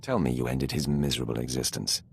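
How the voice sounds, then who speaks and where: An actor playing a commanding Sith master in a video game, cold, ruthless and smug, with an imperious, measured delivery. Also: male voice, fairly even intonation, a little hiss or hum. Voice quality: very deep, gravelly. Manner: measured, imperious, dryly suggestive. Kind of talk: scripted video game acting.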